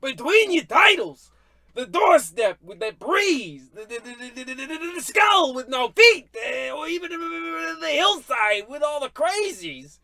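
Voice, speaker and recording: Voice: high-pitched, big pitch swings, a little slow, creaky, bright, forceful tone, exaggerated voice. A performer playing a snide, haughty book critic who sputters scornfully in an old-radio comedy style.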